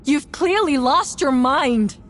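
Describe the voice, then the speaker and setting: A woman's voice: high-pitched, animated, slow pace, a little hiss or hum, exaggerated voice. A woman voicing an imperious female knight in a video game, accusing theatrically and growing frantic. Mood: righteous accusing. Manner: theatrical, forceful courtroom accusing. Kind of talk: dramatic video game voice acting.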